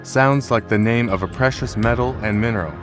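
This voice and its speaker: A man's voice: mid-range voice, fairly quick, over music. A man hosting a scripted quiz show in a brusque, formal manner. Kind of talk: scripted game show presenting.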